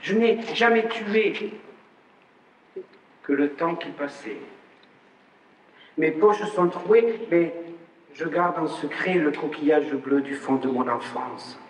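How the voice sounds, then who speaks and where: A man reciting a poem in a slow, theatrical, declaiming way, from an archive recording. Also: roomy sound, in a large hall, distant mic, slight background noise, low-quality recording. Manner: slow, declaiming, rising in pitch on key lines.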